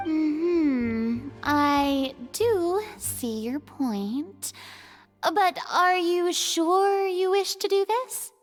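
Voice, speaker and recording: A woman voicing a theatrical, hesitant young film director in an anime-style video game, expressive and drawn-out. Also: very high-pitched, soft background music, full character voice. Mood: concerned, hesitant.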